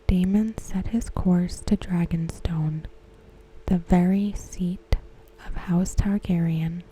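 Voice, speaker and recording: A woman softly whispering a scripted recap in ASMR style, close to the microphone, with gentle, deliberate phrasing. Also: lowish voice, steady tone, a little hiss or hum, low-quality recording. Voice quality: soft, breathy, mostly whispered. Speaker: ASMR host. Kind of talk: ASMR, softly reading a scripted episode synopsis.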